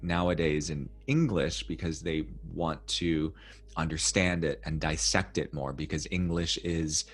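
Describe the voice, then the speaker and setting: Man, very deep voice, podcast host, reflective, conversational. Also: measured pace, soft background music. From United States. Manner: reflective, storytelling. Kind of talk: conversational interview.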